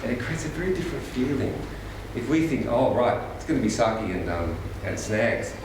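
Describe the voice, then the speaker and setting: A man's voice: mid-range voice, creaky. A man presents a workshop and gives encouraging explanations in short, restarting phrases. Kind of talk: facilitating a workshop feedback round. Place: conference hall. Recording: echoey hall, voice far from mic, audible noise.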